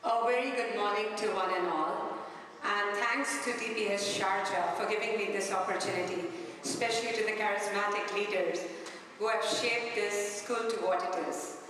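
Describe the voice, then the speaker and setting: A middle-aged woman from India giving an inspirational talk on stage, speaking in measured phrases with pauses for effect. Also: medium pitch, steady tone, fairly bright tone, a little hiss or hum. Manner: slow, deliberate, with pauses for effect. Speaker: invited speaker. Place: large hall. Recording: echoey hall, far from the mic.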